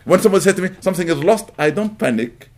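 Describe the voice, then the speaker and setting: A middle-aged man whose first language is Arabic, teaching a live audience, animated and emphatic, rising to loud peaks. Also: high-pitched, very fast. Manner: animated, rising to emphatic peaks, welcomes latecomers mid-talk. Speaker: religious teacher.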